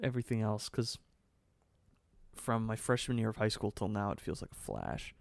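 A man's voice: lowish voice, big pitch swings, moderate pace, vocal fry, no echo. A young man with a Michigan accent chatting casually on a podcast, joking and laughing along. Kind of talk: casual chat.

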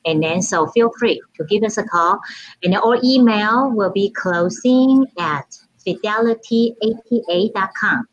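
A woman's voice: mid-range voice, expressive, a little slow, lo-fi sound. A woman from Taiwan with a Taiwanese accent, chatting as a guest in a casual interview, often restarting and filling with "you know".

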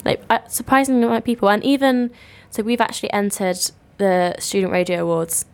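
A young woman with a gravelly, fry-heavy voice chats easily on a podcast. She sounds a touch ill and keeps things relaxed, agreeing often and rambling along. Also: medium pitch, a little fast. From Cornwall, England. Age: young adult. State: ill, coughing. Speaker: podcast co-host. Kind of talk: casual chat. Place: student radio studio.

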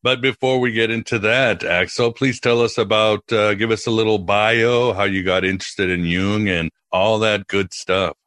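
A man with a deep but bright, forward voice hosts a podcast. He talks casually as he interviews, and the sound is very dry. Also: steady tone, normal speed, hardly any pauses, no room sound. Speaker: podcast host.

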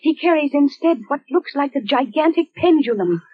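An actress playing a gentle, sorrowful phantom sweetheart in a 1940s radio drama, with a tender, measured, theatrical delivery. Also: female voice, high-pitched, animated. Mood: calm, soothing. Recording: muffled, faintly noisy old radio broadcast.

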